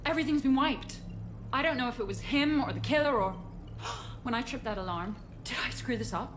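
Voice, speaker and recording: A woman acting as an eager junior officer in a game cutscene. Her fairly high voice is earnest and fretful, with faint noise behind it. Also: expressive, fairly quick, close to an everyday voice. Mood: anxious. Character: eager, anxious female junior military officer. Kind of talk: video game cutscene dialogue.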